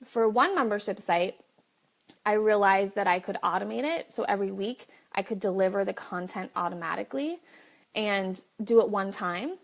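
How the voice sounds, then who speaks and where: A woman's voice: mid-range voice, vocal fry. A woman hosting a casual live video, chatting directly to viewers, with a slight cough. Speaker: livestream host.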